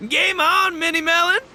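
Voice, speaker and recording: Boisterous, swaggering young rocker. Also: male voice, very high-pitched, sharp tone, full character voice. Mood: cocky challenge. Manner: boisterous, slangy, theatrical boasting. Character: brash, rowdy young male rocker, big-hearted show-off. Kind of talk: video game character acting. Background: game music.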